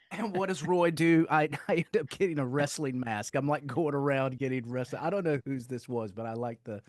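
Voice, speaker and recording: A middle-aged man from North Carolina with a Southern accent, a rock singer chatting loudly and laughing often as an interview guest on a video call. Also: mid-range voice, moderate pace, dry recording, studio quality. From North Carolina, USA. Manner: loud, animated, laughs often. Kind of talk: casual interview chat.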